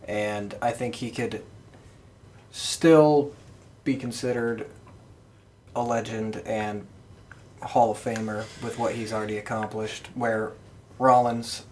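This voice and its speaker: A man's voice: fairly low pitch, fairly even intonation, slow, pauses often, a little mellow, slight background noise. A man co-hosting a podcast in casual, conversational discussion. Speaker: podcast co-host.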